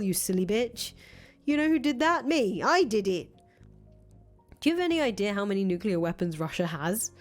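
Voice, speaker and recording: A woman's voice: fairly high pitch, darkish tone, natural voice. A woman voicing a brash, grandiose young revolutionary leader in a visual novel, foul-mouthed, boastful and emphatic. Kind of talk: visual novel character voice reading. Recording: music playing behind the voice.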